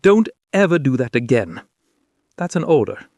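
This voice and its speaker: A man's voice: medium pitch, sing-song, moderate pace, a little mellow, no echo, clean studio sound, pitched above the performer's own voice. A man voicing a fierce, anguished king in love in a dramatic story, impassioned and pleading. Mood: shaken, scolding.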